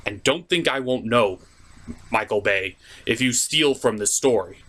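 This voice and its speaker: A man's voice: mid-range voice, expressive, a little slow, a bit bright. A man hosting a video review, giving enthusiastic, theatrical commentary with emphatic, stretched-out words.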